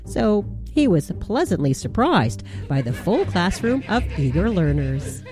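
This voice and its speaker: A woman hosts a radio program, reading her narration in a measured, deliberate way. Her voice sits low and mellow, with a very lively rise and fall. Soft music plays faintly underneath. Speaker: radio show host.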